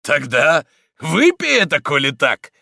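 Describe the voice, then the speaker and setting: A man's voice: on the high side, wildly swooping pitch, measured pace, creaky, dry recording, clean studio sound, pitched above the performer's own voice, heavily acted. A man doing a brutal, taunting stonebreaker. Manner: taunting, mocking shouts. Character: brutal male stonebreaker leading a mob.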